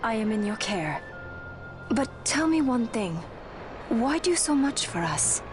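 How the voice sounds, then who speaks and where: An actress playing a dignified, guarded young princess in a video game, speaking in a formal, measured, grave way. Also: female voice, on the high side, very animated, over music. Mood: earnest, questioning. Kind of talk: dramatic video game voice acting.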